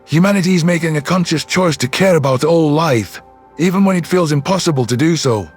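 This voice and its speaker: A man's voice: a little high, brisk, husky, soft background music. A man voicing an earnest, principled human soldier who speaks solemnly in a sci-fi audio story.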